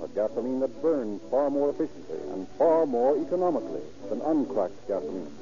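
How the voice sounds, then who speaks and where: An American man announcing and pitching a sponsor in a formal, emphatic 1930s radio style. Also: very animated, brisk. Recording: dull vintage radio recording with music.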